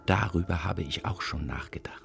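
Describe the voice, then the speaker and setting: A man's voice: low-pitched, normal speed, creaky. A man playing a frazzled, self-pitying cookie inventor, jittery and melodramatic, in a children's audio drama. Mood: doom-laden. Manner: melodramatic, jittery, repeats himself plaintively.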